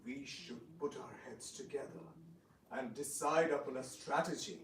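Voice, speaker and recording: Slow, scheming king acted by a man, echoey room. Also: mid-range voice, natural voice. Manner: theatrical, drawn-out phrasing. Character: scheming, sly, flattering visiting king. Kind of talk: amateur devotional dramatic reading. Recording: echoey room, distant mic, faint noise.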